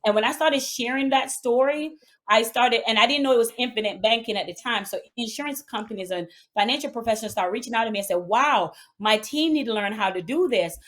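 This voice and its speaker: A middle-aged woman with a Jamaican accent, a guest entrepreneur chatting casually in an interview and telling her story with animated energy. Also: on the high side, a little fast. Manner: animated storytelling with bursts of laughter.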